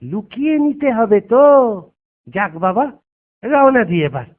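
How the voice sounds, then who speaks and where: A man's voice: sits high, very animated, unhurried. A man voicing a sly, witty village schemer in a cartoon, with a crafty, teasing manner. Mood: sly, eager. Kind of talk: cartoon voice acting. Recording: tinny phone-like audio under loud music.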